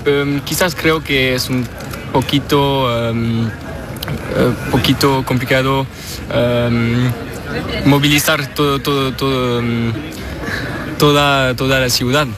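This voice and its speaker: A young male student answering a street interview outdoors, halting with many fillers and restarts. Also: medium pitch, fairly even intonation, a crowd in the background. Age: young adult. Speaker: student passer-by.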